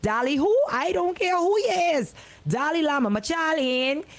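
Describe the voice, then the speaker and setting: A woman's voice: high-pitched, lively intonation, normal speed. A woman radio host giving an opinionated monologue in an indignant, emphatic, colloquial way, repeating words for effect. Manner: colloquial, folksy phrasing.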